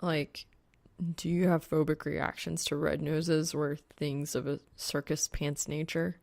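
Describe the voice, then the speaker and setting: Woman acting as young male gamer, low-pitched, gravelly creak, sardonic, awkward, profane, audiobook character. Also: little pitch movement, a little slow, a little mellow, dry recording. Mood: mortified, awkward. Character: grumbling, easily mortified young male gamer.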